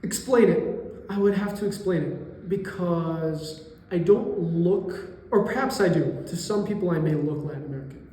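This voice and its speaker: A man's voice: high-pitched, mellow. Young man answering in an echoey room. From New York area, US. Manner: thoughtful, pauses to search for words. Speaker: interviewee, college student. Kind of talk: casual interview answers. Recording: echoey room with long reverb.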